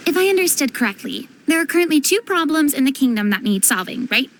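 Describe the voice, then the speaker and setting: A young woman playing a cheerful, talkative young noblewoman, chatty and quick to react, in game dialogue. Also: sits high, very animated. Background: game music.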